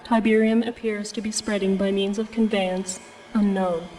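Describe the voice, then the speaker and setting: An actress playing a sober, factual documentary narrator in a video game cutscene, speaking in a formal, informational way. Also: female voice, mid-range voice, level tone, normal speed, darkish tone, lightly acted, low-quality recording.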